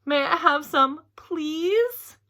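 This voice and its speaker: A woman voicing an eager, expressive young girl in a children's storybook. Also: sits high, drawn-out words, voice pushed up, heavily acted. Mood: pleading. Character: Frances, eager young girl who sings about jam.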